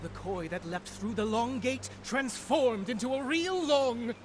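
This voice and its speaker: A man's voice: very high voice, animated, measured pace, a little hiss or hum. A man voicing a grandiose storyteller in a video game, telling legends in a formal, dramatic, declaiming manner. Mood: grand, dramatic. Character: grandiose male storyteller of ancient legends, easily flustered.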